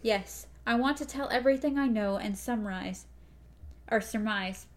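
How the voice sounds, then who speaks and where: A woman voicing an excitable, joking young man who talks eagerly. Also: on the high side, normal speed, natural voice. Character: excitable young male companion, joking and cheerful.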